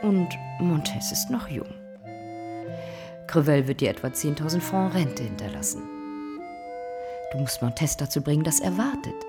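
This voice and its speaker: A woman's voice: low-pitched, lively intonation, normal speed, long pauses. A woman playing a shrewd, scheming older cousin in a radio drama, giving calculating advice. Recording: loud accordion music behind. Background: accordion music.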